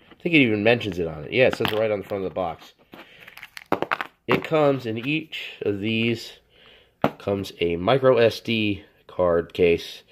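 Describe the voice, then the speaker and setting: A man with a hoarse voice from a cold, casually reviewing a product off camera while he handles it, rambling and correcting himself. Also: lowish voice, slight background noise. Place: indoors at a table. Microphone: handheld camera, off-screen speaker.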